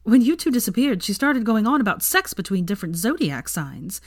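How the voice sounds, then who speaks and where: A woman voicing a brash, excitable young woman who teases and gossips smugly in an audiobook-style story. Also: medium pitch, brisk, pitched above the performer's own voice. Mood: excited, chatty. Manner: excitable, smug, foul-mouthed.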